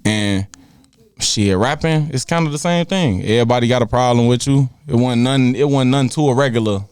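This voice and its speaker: Laid-back smooth-voiced man, slangy. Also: mid-range voice, brisk, darkish tone, no room sound, studio quality. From Chicago. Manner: laid-back, slangy, frequent "you feel me". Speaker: guest rapper. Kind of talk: casual interview chat.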